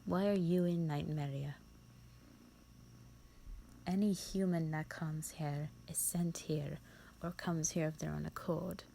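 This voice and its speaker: A woman voicing a bored, contemptuous female vampire in an audio roleplay, with a breathy, creaky voice and a disdainful, formal drawl. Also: sits low, steady tone, takes it slowly, long pauses, dark tone, a little hiss or hum, full character voice. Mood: bored, haughty. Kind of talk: scripted roleplay monologue for the listener.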